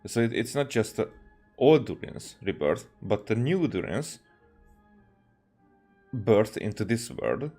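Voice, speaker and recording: A man with a fairly low voice streams a game and thinks aloud. He talks very slowly and haltingly, in casual commentary over faint game music. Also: very animated. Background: soft game music.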